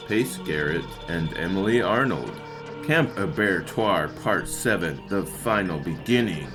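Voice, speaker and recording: A man hosting a podcast, reading scripted credits or chatting casually. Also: low voice. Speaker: podcast host and credits reader.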